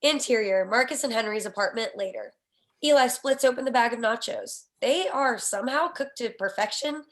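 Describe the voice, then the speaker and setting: A woman's voice: a little high, moderate pace. A woman reading out scene headings and action lines as scripted narration for a screenplay table read. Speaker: stage direction reader.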